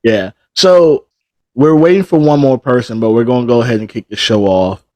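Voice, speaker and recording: A man hosting a casual live-streamed podcast, his voice sitting at an ordinary middle pitch. He keeps his questions short and easygoing, and the sound is close and dry, with no room around it. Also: moderate pace, no room sound. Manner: relaxed, short prompting questions. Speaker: podcast host. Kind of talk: casual interview.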